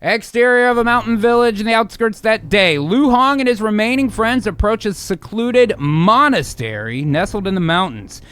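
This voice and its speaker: A man's voice: high-pitched, expressive, moderate pace, few pauses, fairly bright tone, smooth, no echo. A man hosting and narrating an improvised radio drama, moving between dramatic narration and casual chat. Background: music.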